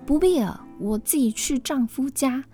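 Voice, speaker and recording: A woman voicing a proud, spoiled young woman who talks in a haughty, sharp and dismissive way in a storybook tale. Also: sits high, normal speed, soft background music, pitched above the performer's own voice, lightly acted.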